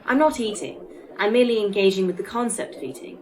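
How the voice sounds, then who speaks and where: An actress playing a polite, pedantic A.I. that speaks formally and precisely in a sci-fi audio drama. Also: female voice, medium pitch, fast talker, darkish tone, a little hiss or hum, lightly acted. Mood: chirpy, pedantic. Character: polite, pedantic female A.I. running a facility. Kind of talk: audio fiction acting.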